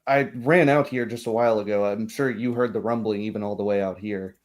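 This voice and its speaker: Small-town deputy performed by a man: greying, lowish pitch, halting, folksy, unassuming tabletop game character. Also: fairly even intonation, natural voice. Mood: uneasy, explaining. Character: greying, hesitant small-town guard deputy, friendly and modest.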